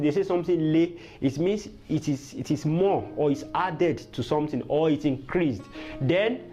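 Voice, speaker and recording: A man's voice: sits high, darkish tone. A man teaching a language lesson, patiently repeating words and checking whether viewers follow. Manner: patient, repetitive teaching with quiz questions. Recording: dull lo-fi sound with faint guitar music.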